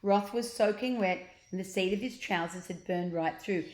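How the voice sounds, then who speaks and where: An older woman reading a children's storybook aloud as a grandmother would, with expressive, drawn-out emphasis, in a roomy recording. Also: medium pitch. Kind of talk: storybook narration read aloud.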